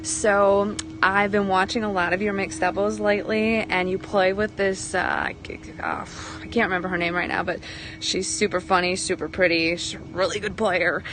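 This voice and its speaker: A woman's voice: mid-range voice, flat delivery, normal speed. A woman sending in a cheerful, teasing recorded question. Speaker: fan and mixed doubles partner.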